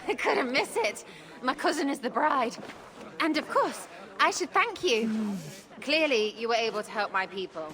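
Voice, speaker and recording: A woman's voice: high voice, very animated, moderate pace, pushed and bright, full character voice. An actress playing a friendly, grateful village woman in a video game, talking in a polite, chatty way.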